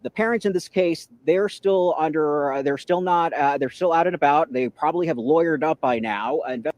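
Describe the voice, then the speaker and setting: Higher-voiced male TV news reporter. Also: moderate pace, darkish tone, no room sound.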